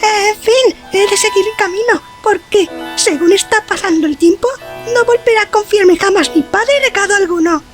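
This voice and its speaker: Very high-voiced lively young woman, acted by a woman. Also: normal speed, faint music behind. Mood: friendly, chatty. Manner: chatty, teasing. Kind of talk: dramatised audiobook dialogue.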